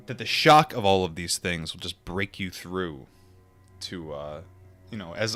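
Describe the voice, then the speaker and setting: A man reading a book aloud and adding informal asides, in a measured, deliberate manner. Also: low voice, fairly bright tone. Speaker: audiobook reader and commentator.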